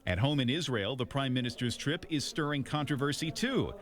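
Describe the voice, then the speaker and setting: A man's voice: mid-range voice, animated, brisk. A man narrating a scripted news package as a reporter.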